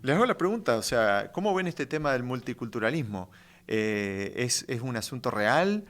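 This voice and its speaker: A man's voice: medium pitch, very animated, moderate pace, a bit bright. A man from Uruguay hosting a church podcast, introducing guests and asking questions. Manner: friendly, questioning, with fillers. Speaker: podcast host. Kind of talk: interview hosting.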